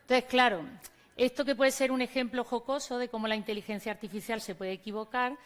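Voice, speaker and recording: A teacher with an Andalusian accent gives a conference presentation from the stage. Her voice sits a little high, and she talks to the audience directly and conversationally. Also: fairly even intonation, a little fast. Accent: Andalusian Spanish. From Córdoba, Spain. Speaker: teacher giving a conference talk.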